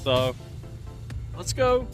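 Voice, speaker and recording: A man's voice: high-pitched, big pitch swings, very slow. A man hosting an informal on-location video, introducing things and putting questions to a guest. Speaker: host and interviewer. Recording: very echoey, distant mic, faint music.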